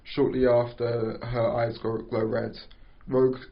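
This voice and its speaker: A man narrating stage directions at an amateur script table read, halting and stumbling over words. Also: medium pitch, flat delivery, vocal fry. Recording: dull lo-fi recording.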